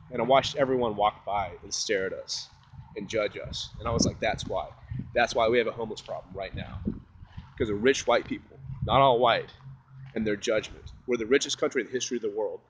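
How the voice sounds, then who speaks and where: A man's voice: medium pitch, slight background noise, low-quality recording. A man in his thirties walking outdoors with a phone at arm's length, chatting loosely and breaking into improvised rap. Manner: loose, rambling, breaks into rhythmic rap and laughs. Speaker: rapper and vlogger. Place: outdoors at an RV park by a lake. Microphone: phone held at arm's length while walking.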